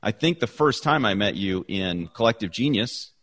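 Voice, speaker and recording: Deep-voiced effusive male podcast host. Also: lively intonation, moderate pace, fairly bright tone. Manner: chatty, effusive, repeats himself. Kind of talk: casual interview chat.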